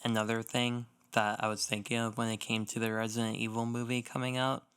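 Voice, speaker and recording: A man's voice: mid-range voice, little pitch movement, a little fast, fairly bright tone, clean tone. A young man co-hosting a podcast, chatting casually in an informal, wry way. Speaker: podcast co-host. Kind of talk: casual chat.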